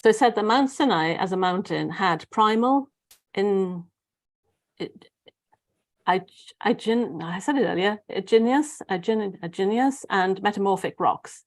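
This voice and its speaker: A woman's voice: medium pitch, expressive, measured pace. A woman with a Welsh accent hosting a casual spiritual group discussion in an informal, rambling way. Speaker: host.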